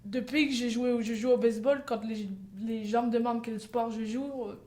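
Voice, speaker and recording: A woman's voice: on the high side, steady tone, a little fast, a little mellow. A young woman from France, answering interview questions fluently. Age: young adult. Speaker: guest, softball player.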